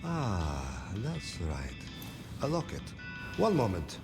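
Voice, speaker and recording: A man's voice: low voice, huge pitch swings, slow pace, full character voice. A man voicing a weary, friendly pawnbroker in a video game, speaking in theatrical, wistful phrases. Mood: gentle, weary. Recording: music behind the voice.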